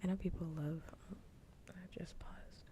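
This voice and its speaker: A woman speaking in a soft whisper, chatting casually in the style of an ASMR livestream. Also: lowish voice, fairly even intonation, moderate pace. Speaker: livestream host. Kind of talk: casual chat with viewers.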